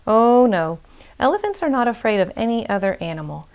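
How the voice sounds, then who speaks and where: A kindly grown-up chimpanzee from a storybook, voiced by a woman reader. He explains things patiently and plainly, reassuring a young listener in a measured way. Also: on the high side, sing-song, darkish tone, pitched above the performer's own voice. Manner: explaining patiently to a little bear. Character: kindly, reassuring adult male chimpanzee father.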